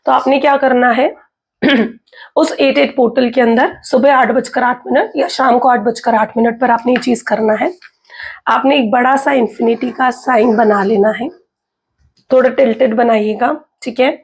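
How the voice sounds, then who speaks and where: A woman with an Indian accent talks through a tarot reading in a relaxed, chatty way. Her voice is hoarse and husky from a sore throat, and it sits a bit high. She keeps checking in with her viewers as she explains. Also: normal speed. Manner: chatty, mixes in English, frequent "okay" and "theek hai" check-ins. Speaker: tarot reader. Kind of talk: casual explanatory talk to viewers.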